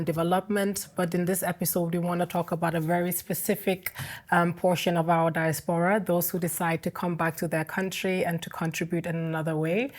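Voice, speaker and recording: A young woman raised in Denmark hosts the interview in a fairly low voice. Her pitch stays very level and steady, and she keeps a measured pace with light fillers. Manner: measured and even, with occasional um fillers. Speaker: podcast host. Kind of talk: hosting an interview podcast.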